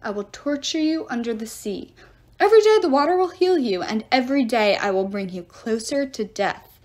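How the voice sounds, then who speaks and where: A woman's voice: fairly high pitch, animated, moderate pace, darkish tone, close to an everyday voice. A woman voicing a menacing male giant, a brutish storybook villain who growls and snarls out threats.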